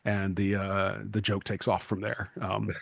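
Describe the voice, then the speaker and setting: A man's voice: low-pitched, completely flat delivery, a little slow, vocal fry, no room sound. Dry, wry-sounding male podcast host. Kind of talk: casual podcast chat.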